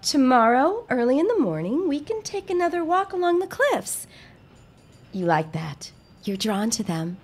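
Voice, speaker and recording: An actress playing a poised, dramatic young debutante elder sister with airy, formal diction in a period audio drama. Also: female voice, high voice, very animated, normal speed. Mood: airy, affectionate. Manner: airy, affected, formal old-fashioned diction.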